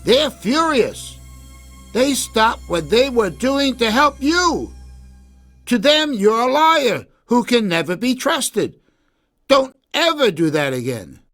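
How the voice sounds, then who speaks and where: Man as stern, slowly lecturing parent. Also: very high-pitched, big pitch swings, fairly bright tone, soft background music, pitched above the performer's own voice, heavily acted. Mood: stern scolding. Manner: firm, lecturing. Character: scolding mother and father, stern moralizing parents.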